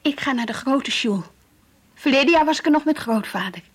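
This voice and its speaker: Earnest, high-voiced devout Dutch teenage girl. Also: animated, fairly quick, husky. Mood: stubborn. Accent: Netherlandic Dutch. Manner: earnest, dreamy, stubborn. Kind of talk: Dutch radio play acting, diary monologue and dialogue. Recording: faintly hissy old radio play recording.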